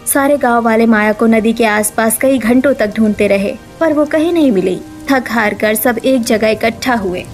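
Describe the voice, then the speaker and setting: A woman's voice: fairly high pitch, normal speed, few pauses, faint music behind. A woman narrating a scripted story as a storyteller.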